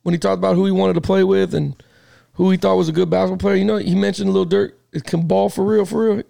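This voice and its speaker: A man's voice: high voice, level tone, fast, gravelly, warm tone. A middle-aged man hosting a casual sports talk show, joking and laughing with his co-hosts. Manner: loose, laughing banter. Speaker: podcast host.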